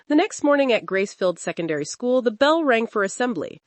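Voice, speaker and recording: A woman storyteller giving an expressive, suspenseful narration of a scripted dramatic folktale. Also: fairly high pitch, brisk, dry recording.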